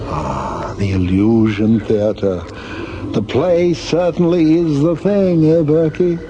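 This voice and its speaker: An actor playing a theatrical, sardonic, menacing mastermind who gives grandiose commands in a science fiction radio drama. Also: male voice, fairly high pitch, slow, dull audio. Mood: theatrical, pleased. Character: suave, theatrical, menacing male mastermind villain. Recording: noisy old radio drama recording.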